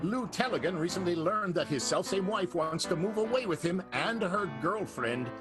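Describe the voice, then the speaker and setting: A man's voice: high-pitched, animated, moderate pace, over music. A man hosting and narrating an improvised radio soap with a dramatic, playful announcer delivery. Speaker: narrator and host.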